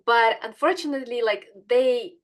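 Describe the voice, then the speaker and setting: Woman guest, animated. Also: a little high, normal speed. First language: Ukrainian. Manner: animated, searching for words. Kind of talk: casual interview talk.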